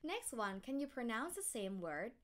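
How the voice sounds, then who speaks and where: A woman in her thirties with a Thai accent, teaching an online language lesson, modeling sounds slowly and carefully and humming to show tones. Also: on the high side, very animated, a bit bright.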